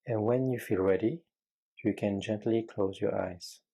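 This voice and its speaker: A man with a low, mellow, slightly husky voice leads a guided meditation. He speaks very slowly and gently, leaving frequent pauses between short, measured phrases of calm instruction.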